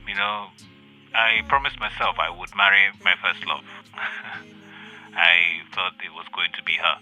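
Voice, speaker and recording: A low, very bright male voice with faint music behind. a wistful young romantic, slow and hesitant, in an audio drama. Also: full character voice, poor audio. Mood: wistful, sad. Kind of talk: modern audio drama acting.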